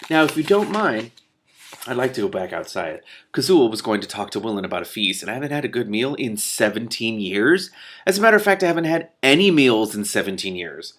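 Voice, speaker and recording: A man reading the part of a good-humoured, easygoing king with a relaxed, amused manner. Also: medium pitch, fairly quick.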